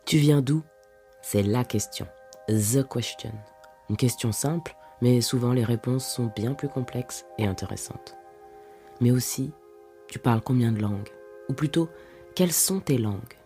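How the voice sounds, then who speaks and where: A woman hosting a podcast, reading scripted narration with measured, deliberate phrasing. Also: extremely low pitch, dark tone, faint music behind.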